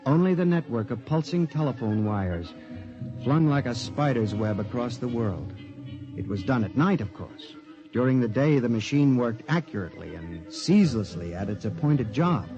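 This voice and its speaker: An actor playing a frantic, tormented clerk in an intense, melodramatic 1950s radio drama style. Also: male voice, mid-range voice, warm tone, natural voice. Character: frantic, tormented male clerk narrating his nightmare. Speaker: lead actor and narrator. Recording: muffled old 1950s radio recording.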